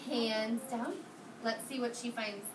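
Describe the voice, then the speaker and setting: Kindergarten teacher lady doing an encouraging read-aloud, high voice, kinda far from the mic in a noisy room. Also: steady tone, slow. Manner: encouraging, questioning, praising pupils. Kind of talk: interactive storybook read-aloud to young children. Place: indoor kindergarten classroom. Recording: roomy, distant mic, noisy classroom.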